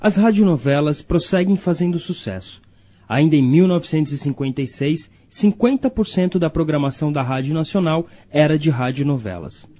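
Brazilian guy narrating radio doc from script, soft mellow tone, slightly high, muffled audio. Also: animated, moderate pace. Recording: dull lo-fi audio.

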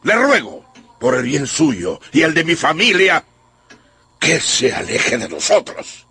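A stern general, speaks slowly with emphatic swings of pitch. His manner is authoritative and reproving, in theatrical radio drama style. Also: huge pitch swings, bright, forceful tone, slightly rough, soft background music, full character voice. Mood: stern reproof. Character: stern, lecturing general, head of family. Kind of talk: Spanish-language radio drama acting.

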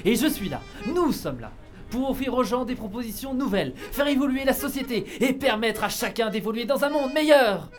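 A high-voiced, exalted young engineer, acted by a man, holds forth with theatrical pomp and huge self-belief. It is comic audio drama, with some music behind the voice. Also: expressive, brisk. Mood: impassioned, grandiose. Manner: pompous, theatrical, declamatory. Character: exalted, grandiose young engineer, overconfident inventor.

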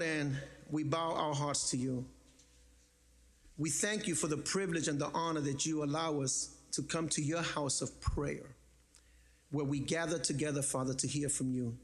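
Lively joking pastor. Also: male voice, on the high side. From the Bronx, New York. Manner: lively, joking, conversational preaching. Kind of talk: sermon.

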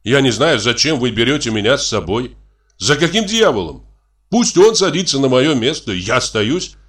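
An irritable, reluctant country doctor, acted by a man reading a story. He grumbles and protests in an exasperated, lively voice with a sharp edge. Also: medium pitch, normal speed, slightly rough, voice pushed up. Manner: complaining, exasperated.

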